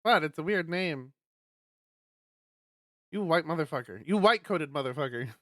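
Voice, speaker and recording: A man streamer reading a visual novel aloud and adding chatty, animated asides. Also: fairly high pitch, normal speed, dry recording, clean studio sound.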